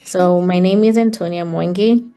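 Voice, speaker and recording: A woman's voice: on the low side, fairly even intonation, normal speed, mellow. A middle-aged woman with a Kenyan accent, reflecting on her life as an interview guest. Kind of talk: reflective personal storytelling in conversation.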